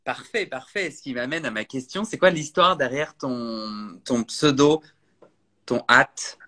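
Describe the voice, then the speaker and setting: A man's voice: medium pitch, a little slow, pushed and bright. A man hosting a podcast interview, prompting his guest with casual, friendly questions. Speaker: podcast host.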